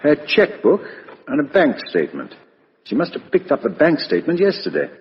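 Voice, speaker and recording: An actor playing a brisk, shrewd English police inspector in a 1950s British radio drama, blunt and businesslike. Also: male voice, fairly high pitch, huge pitch swings, slight background noise. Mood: brisk, matter-of-fact. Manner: businesslike, colloquial, matter-of-fact. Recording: muffled, reverberant vintage radio recording.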